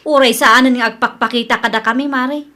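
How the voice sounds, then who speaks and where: A woman's voice: on the high side, fairly even intonation, a little fast, bright, forceful tone. A woman playing an aggrieved young mother fed up with her useless husband in a comedy radio drama, with an Ilocano accent. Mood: bitter, complaining. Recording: music behind the voice.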